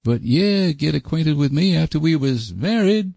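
A man's voice: a little high, expressive, moderate pace, gravelly, darkish tone, husky, no echo, studio quality, voice pushed up, heavily acted. A man voicing a lank, solemn young farmhand suitor in a storybook, with a blunt rustic drawl. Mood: earnest, abrupt. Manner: drawling rustic dialect, terse and abrupt. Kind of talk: audiobook character voice.

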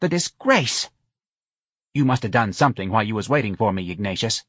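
A man voicing a fretful, nagging older working-class mother with husky, scolding, colloquial speech in an audiobook. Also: lowish voice, sing-song, brisk, a bit bright, dry recording, clean studio sound, exaggerated voice. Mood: anxious scolding. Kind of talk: audiobook character voice.

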